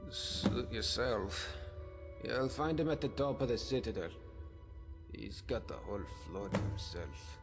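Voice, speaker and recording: An actor playing a stern but helpful townsman in a fantasy game, speaking to a stranger in a deliberate, wry, warning way. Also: lowish voice, lively intonation, gravelly, over music. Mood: helpful, casual. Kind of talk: video game character dialogue.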